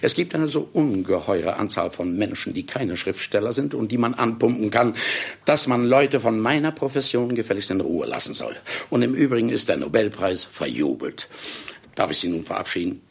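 A grand, short-tempered man of letters in a radio drama. His voice is gravelly and raspy, swinging widely in pitch. He sounds pompous, theatrical and curt. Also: animated, moderate pace, heavily acted. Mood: brusque, dismissive. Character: imperious, self-important, irascible celebrated male writer. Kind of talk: German radio play acting.

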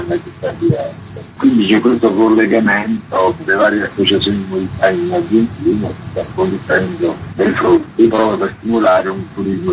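A man's voice: lowish voice, vocal fry, low-quality recording. A man from Molise, talking informally as an event organiser in an interview. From Molise, Italy. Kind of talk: informal spoken interview explanation.

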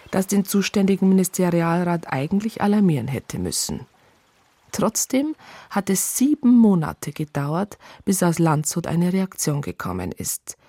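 A woman's voice: fairly low pitch, warm tone, slight background noise. An actress playing an indignant police psychologist who states her complaint in precise, formal words in a radio drama. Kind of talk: radio drama narration and dialogue.

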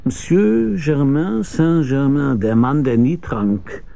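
An actor playing a world-weary private detective with a dry, laconic manner and gravelly voice, narrating and acting in a German radio drama. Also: male voice, medium pitch, slow. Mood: wry, reflective. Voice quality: gravelly, husky, dark. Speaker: first-person narrator and lead.

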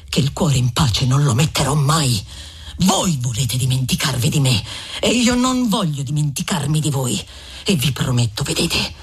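A woman's voice: deep voice, husky, pitched below the performer's own voice, full character voice. A woman reading an audiobook voices a young male peasant who talks in a hurt, indignant, insistent way. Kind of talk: audiobook reading of a classic novel.

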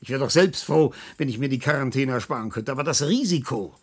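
An actor playing an irascible, domineering professor and chief physician in a German radio drama, blustering, sarcastic and impatient. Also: male voice, medium pitch, expressive, quick pace, slightly rough. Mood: brisk, worried.

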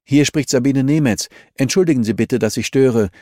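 A man's voice: mid-range voice, normal speed, mellow, no echo, studio quality, voice pushed up, lightly acted. Man voicing a polite, determined young female investigator. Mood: polite, urgent. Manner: polite, matter-of-fact. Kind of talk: audiobook character dialogue.

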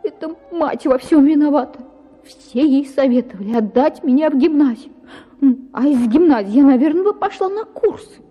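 An actress playing a simple young housewife, plaintive and reproachful, in a radio play. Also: female voice, sits high, animated, moderate pace. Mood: complaining. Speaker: wife. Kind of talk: radio drama acting. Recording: dull radio audio in reverb with music.

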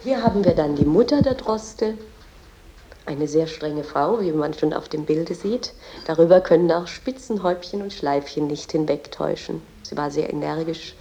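An actress playing an earnest, reverent tour guide, explaining in a halting way with small self-corrections. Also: female voice, low voice, mellow, muffled recording. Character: female museum guide, earnest and reverent about a poet's family. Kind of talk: guided-tour commentary in a radio drama.

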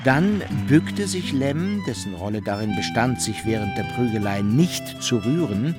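A man's voice: medium pitch, sing-song, a little slow, over music, a crowd in the background. A man reading scripted literary narration as the storyteller of a German radio drama.

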